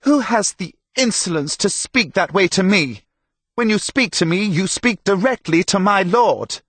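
A man's voice: high-pitched, very animated, measured pace, no room sound, pitched above the performer's own voice, full character voice. A man voicing a pompous, scornful young castle herald in an audiobook, easily offended and full of his own rank. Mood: indignant.

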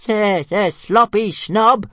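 A squeaky little storybook dragon, boastful and stuttering, trips over each opening sound and drags the words out slowly,high above his own register in a breathy, husky tone. The recording is close and dry. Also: sharp tone, no room sound, full character voice. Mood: jeering. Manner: stutters on first sounds, taunting.

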